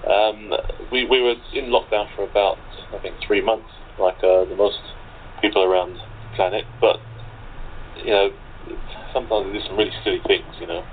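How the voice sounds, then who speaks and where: English male, deep voice, hesitant casual delivery, narrow phone-quality sound with faint noise. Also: fairly even intonation, moderate pace. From England. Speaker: cast member being interviewed. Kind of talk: casual chat. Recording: noisy, phone-like remote call audio.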